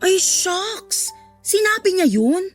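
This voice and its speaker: An actress playing a sassy, indignant and supportive woman friend in a radio drama, talking colloquially with expressive exclamations. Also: extremely high pitch, slow pace, soft background music, full character voice. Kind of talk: Tagalog radio drama acting.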